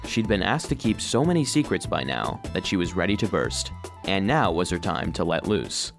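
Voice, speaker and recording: Low-voiced, lively robot storyteller. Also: male voice, normal speed, gravelly, music in the background, heavily acted. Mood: warm, nostalgic. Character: earnest, eager male cleaning robot narrating his own story. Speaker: narrator. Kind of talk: scripted children's audio drama narration.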